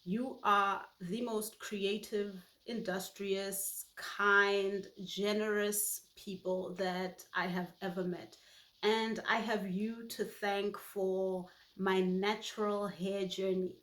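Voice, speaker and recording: A woman in her forties from Botswana, whose first language is Setswana, telling personal stories in a warm, heartfelt way. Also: medium pitch, flat delivery, slow, echoey room. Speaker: YouTube host. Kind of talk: personal reflective monologue.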